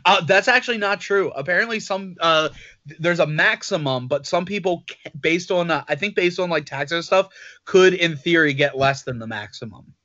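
A man co-hosting a podcast talks in loose, rambling banter, mocking himself as he goes. His voice is bright and a touch high, and it sounds dry and slightly dull, recorded close on a lo-fi mic. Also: moderate pace, dry recording. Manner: joking, rambling, self-mocking. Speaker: podcast co-host. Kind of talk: casual banter.

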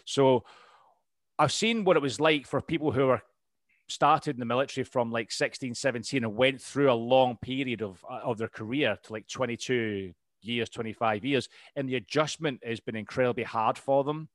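A Scottish man in his thirties talking as an interview guest, with a rambling, easygoing way of speaking full of ums and restarts. His voice sits at an everyday middle pitch. The sound is close and dry, with no room echo. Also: moderate pace. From Scotland. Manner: rambling, lots of ums and restarts, laughs easily. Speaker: podcast guest, RAF veteran. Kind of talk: casual interview chat.

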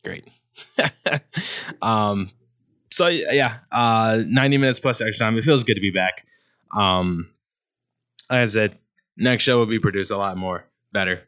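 A man's voice: fairly low pitch, measured pace, long pauses, fairly bright tone. A man hosting his own sports talk show, rambling casually with many ums and restarts. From Ohio, USA. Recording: dull lo-fi sound.

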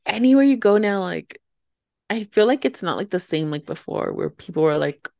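A woman's voice: medium pitch, expressive, moderate pace, creaky, dark tone, dry recording, studio quality. A young woman co-hosting a podcast in casual chat, rambling and filling her talk with "like". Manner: rambling, heavy use of "like". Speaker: podcast co-host.

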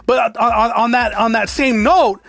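A man's voice: extremely high pitch, steady tone, normal speed, vocal fry, a bit bright, no room sound. A man from the United States talking casually to his viewers in a rambling, profane rant that restarts and repeats itself. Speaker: vlogger.

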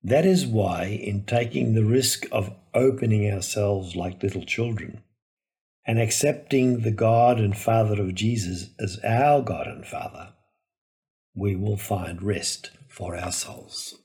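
A low-voiced man delivers a Gospel homily. He speaks very slowly and solemnly, pausing between deliberate phrases like a preacher reading a prepared reflection. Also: a little mellow. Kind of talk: reflective scripted sermon.

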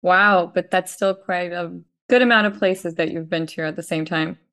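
A woman's voice: on the low side, fairly even intonation, moderate pace, a bit bright, clean tone. A woman hosting an interview podcast, asking questions in a friendly, upbeat way. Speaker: podcast host.

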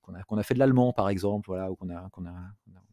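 French guy hosting a chatty podcast, lowish voice, jokey, informal, dry sound. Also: very fast, mellow, no room sound. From France. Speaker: host of a culture show.

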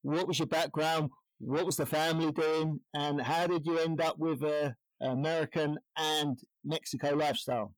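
A man's voice: fairly high pitch, fairly even intonation, moderate pace, hardly any pauses, rough recording. A man from England hosting a podcast interview, casual and jokey, teasing his guest. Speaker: podcast host.

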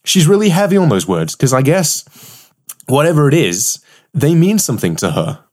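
Podcast host, wry and mock-earnest, lively melodic delivery, husky edge, loose conversational style. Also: mid-range voice, moderate pace. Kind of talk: casual chat, reading poems aloud.

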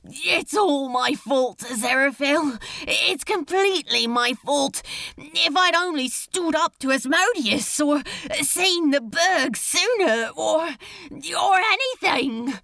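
Lady doing a desperate demon guy, pitched way up, loud and frantic, pleading. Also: lively intonation, slow pace, gravelly, no echo, full character voice. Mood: anguished. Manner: frantic, pleading, rising to shouts. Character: anguished, guilt-stricken male demon.

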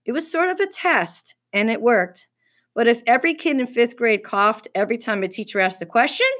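A woman's voice: fairly high pitch, animated, fairly quick, a bit bright, voice pushed up. A woman reading a children's story, voicing a smug, teasing fifth-grade boy with a mocking, needling manner.

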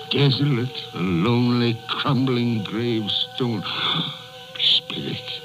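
An actor playing a frightened, repentant old miser in an old radio drama, theatrical, pleading and halting. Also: male voice, deep voice, muffled recording. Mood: dread. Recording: old radio broadcast with music.

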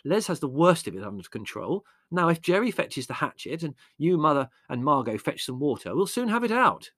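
Guy doing a self-important lazy grown son from a storybook, complainy and lecturing, pitched up, very animated. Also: brisk, no room sound, clean studio sound. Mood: smug. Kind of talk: acted audiobook character dialogue.

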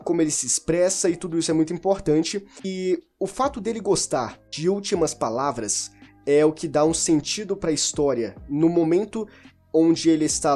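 A man's voice: a little high, a little mellow. A man talking informally about a novel, rambling, restarting and correcting himself as he goes. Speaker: book reviewer. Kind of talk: casual spoken book discussion.

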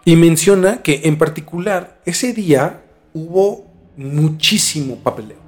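A man's voice: fairly high pitch, a little slow. A man with a Mexican accent telling a story in a casual, slangy podcast chat as host. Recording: music bed behind the talk. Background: music.

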